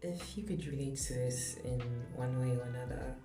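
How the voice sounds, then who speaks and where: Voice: mid-range voice, moderate pace. A young adult vlogger from Zambia, speaking in an earnest, candid opinion monologue with light laughs. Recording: echoey room with background music.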